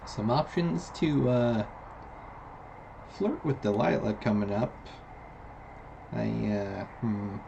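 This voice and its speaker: A man narrates his video game playthrough in a slow, matter-of-fact way. His voice is gravelly and laid-back. The recording is muffled, with clear game ambience behind it. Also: on the low side. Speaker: gaming host. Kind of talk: let's play commentary. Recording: dull, lo-fi mic with clear noise.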